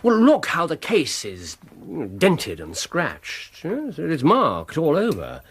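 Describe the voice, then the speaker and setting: Casting: brilliant, restless English detective for radio drama, haughty and precise, swooping intonation, slightly husky edge. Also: male voice, fairly high pitch, huge pitch swings, slow, full character voice. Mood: brisk, explanatory. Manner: theatrical, precise, pedantic, with murmured asides.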